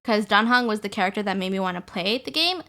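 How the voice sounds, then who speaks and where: A woman's voice: mid-range voice, lively intonation, fast talker, pushed and bright. A woman streaming live, chatting casually and upbeat with her viewers, greeting them and laughing often.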